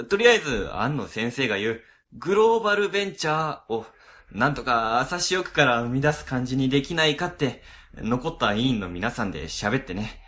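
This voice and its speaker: Animated, bright-voiced male office boss acted by a man. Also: medium pitch. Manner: casual, explanatory, animated. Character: chatty, easygoing male government office section boss. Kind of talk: Japanese web radio drama acting.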